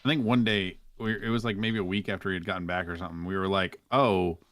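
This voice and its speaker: A man's voice: low voice, steady tone, quick pace, a bit bright. A man chatting casually and jokingly as a podcast guest.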